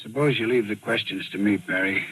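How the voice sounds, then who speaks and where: Raspy sharp cop from an old radio mystery, informal, probing, suspicious. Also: male voice, lowish voice, brisk, poor audio. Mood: probing, wary. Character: probing male police detective, suspicious and casual. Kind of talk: 1940s radio thriller acting. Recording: old radio broadcast recording.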